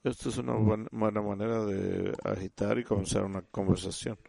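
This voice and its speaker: A man giving a sermon in halting speech, often restarting and repeating words as he teaches the congregation. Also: on the low side, flat delivery, gravelly, warm tone, no room sound, clean studio sound. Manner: addresses listeners as "usted". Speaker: church speaker.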